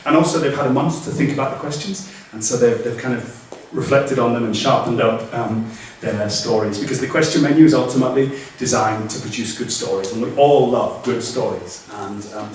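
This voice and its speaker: A man's voice: mid-range voice, slight background noise. A man, a guest speaker, answering audience questions after a talk, hesitant with many restarts and fillers. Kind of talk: Q&A after a lecture. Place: lecture room. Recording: echoey room from far off.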